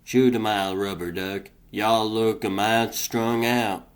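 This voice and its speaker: A gruff but easygoing cartoon pig superhero, played by a man. The voice sits low and drawls along in folksy country talk. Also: steady tone, slow pace. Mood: wry, grumbling. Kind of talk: comic dub voice acting.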